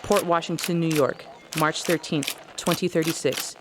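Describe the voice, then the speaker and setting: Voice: medium pitch, steady tone, normal speed, noisy background, lightly acted. An actor voicing an impassive announcer of places and times in an audio drama. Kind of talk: scripted scene announcement.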